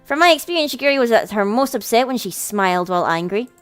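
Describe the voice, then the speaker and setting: A woman hosts a game playthrough, reading story text aloud with casual reactions. Her voice is fairly high and very animated, with music behind it. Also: fast, smooth, dry recording. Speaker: let's play host. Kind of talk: visual novel read-aloud with casual reactions.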